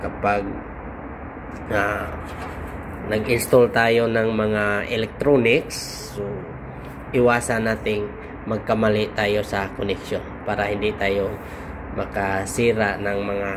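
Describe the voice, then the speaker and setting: A Filipino man giving an informal instructional walkthrough, speaking haltingly in short phrases with pauses. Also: lowish voice, level tone. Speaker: host of an electronics how-to video. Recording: clear background noise, vehicle-like hum.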